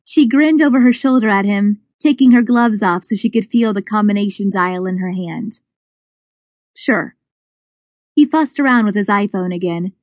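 A woman reading scripted suspense fiction as an audiobook narrator, with expressive storytelling. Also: medium pitch, a little fast, few pauses, no room sound, studio quality. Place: dry studio.

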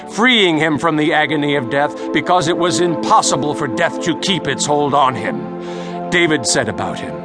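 An actor playing an earnest apostle preaching boldly, a man declaiming deliberately in a dramatized audio Bible. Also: fairly high pitch. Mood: reverent. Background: music.

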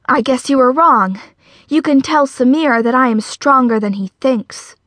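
A woman's voice: high-pitched, a little slow, fairly bright tone, dry recording, studio quality, pitched above the performer's own voice. A woman playing a brave young girl in a storybook, speaking with bold, emphatic defiance though afraid. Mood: defiant.

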